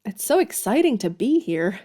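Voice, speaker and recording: An actress playing an earnest, polite young woman writer and governess in an audio drama, with expressive delivery. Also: on the high side, normal speed. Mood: polite, eager.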